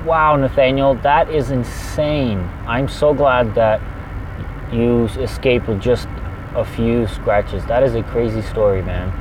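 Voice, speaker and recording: A man's voice: mid-range voice, noisy background. A man who drives a truck for a living, chatting energetically on a livestream and reading out viewer comments. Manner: energetic, chatty, fast-talking.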